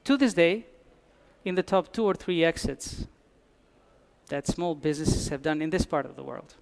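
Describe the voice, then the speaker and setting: A man's voice: on the high side, expressive, normal speed, creaky. A man from Albania, giving a conference talk with dry humor and frequent restarts. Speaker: conference speaker. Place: conference hall.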